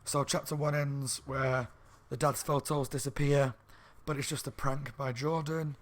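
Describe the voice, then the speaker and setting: A slightly hoarse man reviewing a book in a rambling, casual chat at home, with lots of ums and restarts. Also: mid-range voice, fairly even intonation, measured pace. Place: room at home by a window on a main street.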